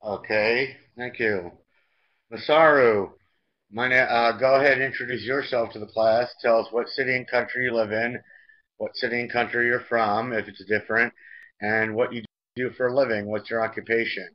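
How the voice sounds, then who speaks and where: A man's voice: medium pitch, fairly even intonation, moderate pace. An American man teaching an online language class, instructional and repeating himself as he goes. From United States. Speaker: English teacher. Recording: dull, lo-fi online call audio.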